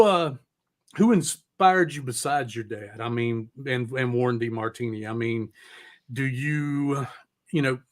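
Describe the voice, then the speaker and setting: A middle-aged man hosting an interview as a casual chat, informal and rambling, with the odd swear word. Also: fairly high pitch. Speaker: podcast host.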